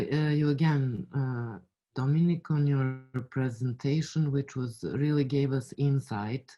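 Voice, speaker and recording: A moderator closes a conference session with formal thanks in a mellow, mid-pitched voice. The recording sounds dull and lo-fi.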